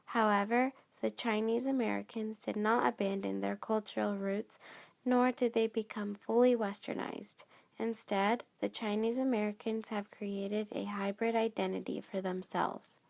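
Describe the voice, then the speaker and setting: A woman reading scripted narration for a student documentary in a steady, measured way. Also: medium pitch, gravelly.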